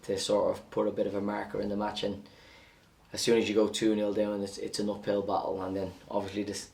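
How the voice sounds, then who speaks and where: A man, a football coach giving a measured, plain-spoken post-match interview. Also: fairly low pitch, monotone, vocal fry, darkish tone, far from the mic.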